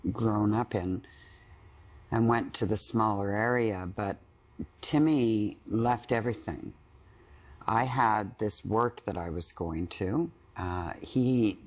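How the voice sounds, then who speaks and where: A woman giving a reflective, measured personal account as a client in a therapy session. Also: extremely low pitch, a little mellow.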